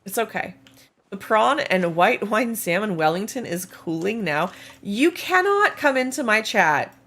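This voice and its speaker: A woman with a slightly hoarse, gravelly voice, chatting casually with viewers on a game live stream while reading and answering chat. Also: mid-range voice, big pitch swings, unhurried, a bit bright. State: slightly hoarse, losing her voice.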